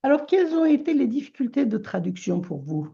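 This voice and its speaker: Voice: high-pitched, sing-song, vocal fry, breathy edge, dull audio. A radio host asking guests questions, hesitating often. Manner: hesitant, many pauses and fillers. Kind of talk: radio interview.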